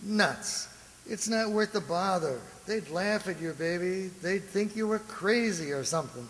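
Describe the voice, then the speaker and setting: An actor playing a dismissive, tired husband with a brusque, slangy manner in an amateur radio drama. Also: male voice, high-pitched, animated, a little slow, a bit bright, slight background noise. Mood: scoffing, dismissive. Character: dismissive, tired working-class American husband. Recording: echoey hall.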